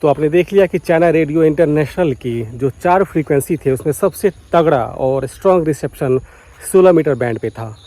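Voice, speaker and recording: Mellow-voiced man presenting a tutorial vlog. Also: on the high side, brisk. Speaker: vlogger presenting a radio tutorial. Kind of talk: explanatory vlog commentary while demonstrating. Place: outdoors beside farm fields.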